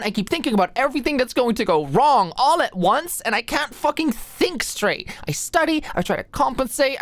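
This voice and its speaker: A woman's voice: on the high side, no room sound, lightly acted. A woman playing an anxious, stressed-out young medical student in an intimate roleplay monologue, rambling and stammering as she goes. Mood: frantic, overwhelmed. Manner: rambling, stammering, hesitant, swearing.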